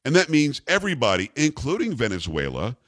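A man's voice: mid-range voice, huge pitch swings, a little fast, a bit bright, dry recording, clean studio sound. An American man hosting political talk radio, giving opinionated commentary in an emphatic, incredulous and sarcastic manner. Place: radio studio.

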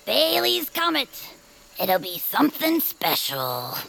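A loyal, excitable young adventurer in an audio drama. The voice is heavily creaky and high, leaping around in pitch, with earnest, folksy, old-fashioned talk. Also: female voice, slow, full character voice, poor audio. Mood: agreeable. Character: eager, loyal, adventurous young friend named Hatch. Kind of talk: audio fiction acting in a quaint old-radio manner.

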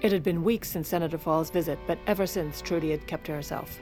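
A shrewd First Lady played by a woman in an audio drama, with a fairly low voice. She is wry and commanding, confiding like someone used to managing powerful men. Music plays clearly behind her. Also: fast talker, darkish tone, lightly acted. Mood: gushing, wry. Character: shrewd, dry, protective First Lady narrating her own story. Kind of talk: scripted audio drama narration and dialogue.

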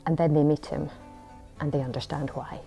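A woman playing a weary mother in a comedy clip, delivering her lines dry and deadpan. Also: sits low, normal speed, creaky, slightly rough, faint music behind, exaggerated voice.

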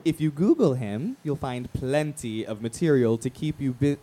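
A man's voice: medium pitch, expressive, dark tone, a little hiss or hum, close to an everyday voice. Man playing a flamboyant, swooping radio host. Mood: showy, promotional. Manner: campy, playful, drawn-out emphasis, teasing. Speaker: radio talk show host. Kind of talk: radio play acting as talk show banter.

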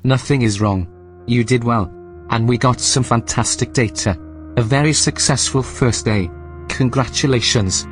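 Casting: male actor as calm AI station companion for sci-fi radio play, medium pitch, measured, courteous, emotionally even. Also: soft background music, close to an everyday voice. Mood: calm, pleased. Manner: measured, formal, matter-of-fact. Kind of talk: sci-fi audio drama acting.

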